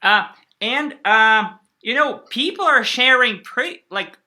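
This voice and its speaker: Interview host, very slow, bright sharp tone, halting questions, many fillers. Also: medium pitch, expressive. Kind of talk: conversational interview.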